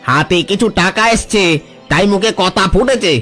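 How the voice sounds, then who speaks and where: An actor playing a greedy, scornful village food hoarder in a cartoon folk tale, with a theatrical delivery. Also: male voice, high voice, expressive, moderate pace, sharp tone, faint music behind, full character voice. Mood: scornful, gloating.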